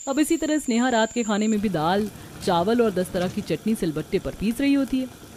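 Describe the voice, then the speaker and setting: A woman's voice: fairly high pitch, lively intonation, moderate pace, dark tone, a little hiss or hum. A woman reading scripted story narration. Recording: music behind the voice.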